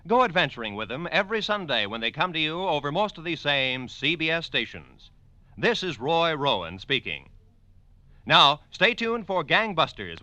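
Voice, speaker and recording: Very bright, forceful male radio announcer. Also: a little high, no echo. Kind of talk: 1940s scripted radio announcing.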